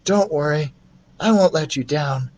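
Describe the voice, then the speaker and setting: A man's voice: a little high, unhurried, a little mellow, pitched above the performer's own voice, lightly acted. A man voicing a kind young boy who feels ill, speaking in a listless, subdued way for a storybook. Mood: weary, apologetic.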